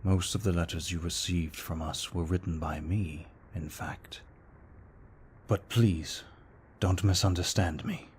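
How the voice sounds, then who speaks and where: A man as a very deep, slow-spoken courteous vampire. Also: fairly even intonation, slight background noise. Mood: apologetic, courteous. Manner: formal, polite, hushed and measured. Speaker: voice actor in an audio roleplay. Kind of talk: intimate ASMR roleplay acting.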